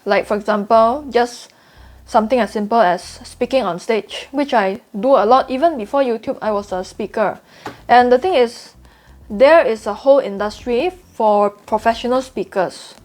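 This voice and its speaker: Woman, Singaporean accent, young adult, fairly high pitch, confiding vlog talk, earnest. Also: normal speed, a little mellow. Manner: earnest, confiding, repeats for emphasis. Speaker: trading YouTuber. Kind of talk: candid vlog to camera.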